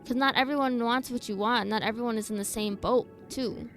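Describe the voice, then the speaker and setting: A woman's voice: a little high, steady tone, brisk, fairly bright tone, soft background music. A woman streaming a game, giving casual, informal reaction commentary as she thinks aloud in a skeptical way.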